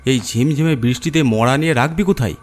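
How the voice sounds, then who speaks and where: A man's voice: mid-range voice, quick pace, pitched above the performer's own voice, natural voice. A man voicing a shrewd, bossy village man who scolds and commands in a horror cartoon story. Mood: scolding.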